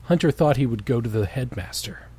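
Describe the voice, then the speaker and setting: Male co-host and reader, medium pitch, very varied intonation, wry storytelling with casual sweary commentary. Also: expressive, a little fast, dark tone, slightly rough. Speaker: co-host reading fan fiction aloud.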